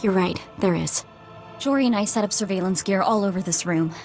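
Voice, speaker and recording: A woman's voice: medium pitch, brisk, darkish tone, faint music behind, close to an everyday voice. A woman voicing a determined, grieving bounty hunter's handler in a video game, earnest and urgent in manner.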